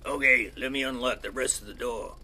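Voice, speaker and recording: Man playing a husky, folksy gun nut. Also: mid-range voice, brisk, sharp tone. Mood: folksy, boastful. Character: paranoid, folksy rural gun-store owner, conspiracy-minded man. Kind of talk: audio drama acting.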